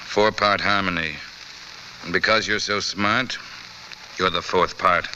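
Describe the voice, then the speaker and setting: Muffled, hissy old radio recording. a cold gangster killer, very low and sharp-edged, smug and deliberate in his menace. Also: fairly even intonation, full character voice. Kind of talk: 1940s radio drama acting.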